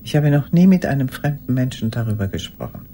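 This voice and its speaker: Elderly woman, dark mellow tone, halting intimate monologue, haunted by the past, radio drama. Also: a bass voice, expressive, slight background noise. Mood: haunted, hushed. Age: older. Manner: halting, intimate, sometimes dropping to a whisper. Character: old woman haunted by a lost wartime love.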